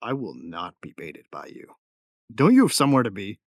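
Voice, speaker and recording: A man's voice: fairly low pitch, wildly swooping pitch, brisk, no echo, studio quality. A man playing a tough young gunfighter and protective big brother in a sci-fi audio drama, matter-of-fact and wry. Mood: teasing, exasperated. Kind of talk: first-person narration and dialogue in sci-fi audio drama.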